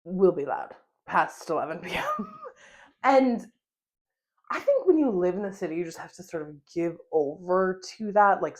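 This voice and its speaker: Chatty, animated American woman podcaster. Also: on the low side. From United States. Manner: chatty, animated, with frequent laughs and fillers. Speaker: podcast host. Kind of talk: solo casual podcast monologue.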